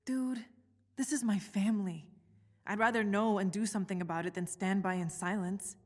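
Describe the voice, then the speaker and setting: A woman's voice: mid-range voice, steady tone, moderate pace, natural voice. Woman acting a worried young biochemist, reverberant sound. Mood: resolute. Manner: candid, casual, thoughtful. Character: worried but resolute young female biochemist. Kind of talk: naturalistic video game voice acting.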